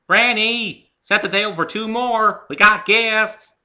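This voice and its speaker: A man's voice: sits high, slow, sharp tone, pitched above the performer's own voice, heavily acted. A man voicing a friendly young female farm pony with a folksy US Southern drawl in a story reading. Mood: hearty. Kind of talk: dramatic fan fiction reading.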